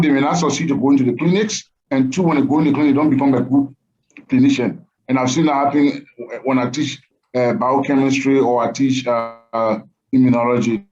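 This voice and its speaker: A man's voice: mid-range voice, a little mellow. A man, a biochemistry professor, speaking in an emphatic, lecturing way as a panelist on a video call. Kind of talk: online panel talk. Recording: muffled video call audio.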